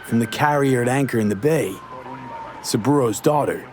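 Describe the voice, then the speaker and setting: An actor playing a blunt, skeptical, streetwise mercenary in a video game, talking in terse, clipped, slangy phrases. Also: male voice, mid-range voice, moderate pace, natural voice. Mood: dry, guarded. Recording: noisy street ambience behind.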